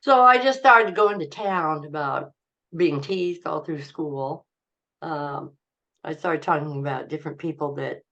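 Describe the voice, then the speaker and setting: An older woman speaking slowly in a low voice, a comedian sharing life stories with an interviewer. She rambles and halts with fillers and laughs often as she goes. Her talk is low and unhurried. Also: bright, forceful tone. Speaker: comedian guest. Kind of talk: casual interview storytelling.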